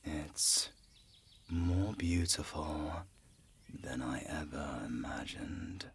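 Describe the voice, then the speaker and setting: An actor playing a dying four-century-old male vampire villain in an audio drama, weary and wistful, with a gravelly, breathy whisper and halting delivery. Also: very deep voice, slight background noise, full character voice. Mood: resigned, wistful. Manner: halting, slow, sighing. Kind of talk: cinematic audio drama acting.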